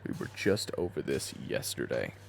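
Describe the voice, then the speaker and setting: A man playing a weary boss with a buried temper in a dramatic audio roleplay, gravelly and brooding. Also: very deep voice, sing-song, moderate pace, reverberant, a little hiss or hum, heavily acted. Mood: exasperated. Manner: brooding when narrating, exasperated in dialogue. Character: weary reformed hot-tempered man, a soft-pushover boss. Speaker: narrator and lead character.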